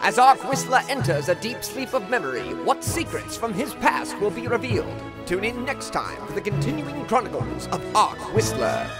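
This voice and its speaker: A man's voice: high voice, very animated, moderate pace, few pauses, recorded from a distance, loud music behind the voice. A man narrating a radio serial as its announcer.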